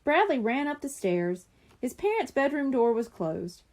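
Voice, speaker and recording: Woman narrating a kids chapter book, high voice, very lively intonation. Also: unhurried. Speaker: audiobook reader. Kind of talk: expressive children's story narration.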